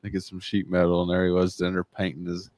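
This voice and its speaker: Real deep voice guy, quiet short answers, laid back, dry sound. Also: completely flat delivery, fast talker, dry recording. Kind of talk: casual chat.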